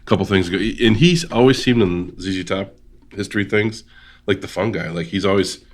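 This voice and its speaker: A man's voice: deep voice, moderate pace. A man hosting a podcast, chatty and joking with his co-hosts. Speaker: podcast host. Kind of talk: casual chat.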